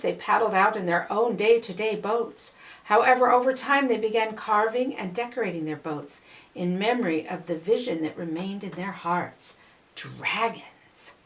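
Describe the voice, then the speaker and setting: Slow, dramatic older woman storyteller. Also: medium pitch, vocal fry. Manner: deliberate, dramatic phrasing with pauses. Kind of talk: expressive read-aloud children's storytelling.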